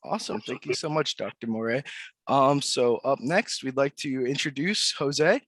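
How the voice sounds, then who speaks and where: A man hosting an online panel webinar, introducing guests in a relaxed, friendly way. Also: mid-range voice, normal speed, no room sound. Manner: friendly, relaxed, repeats thanks. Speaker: webinar host. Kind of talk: casual panel moderation.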